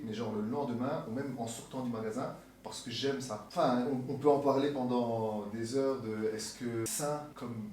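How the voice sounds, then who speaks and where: A man chatting casually in an interview as a musician guest, relaxed and mixing in English slang, recorded in a sneaker store. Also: mid-range voice, a little hiss or hum. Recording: echoey store from far off.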